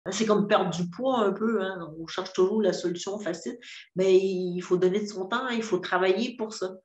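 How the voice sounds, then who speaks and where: Québécois woman, fifties, telling her own story haltingly and sincerely; roomy, dull recording. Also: mid-range voice. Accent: Québécois French. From Quebec. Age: 50s. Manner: hesitant, many fillers and restarts, earnest. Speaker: program client giving a testimonial. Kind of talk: candid personal testimony. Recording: roomy echo, dull lo-fi sound.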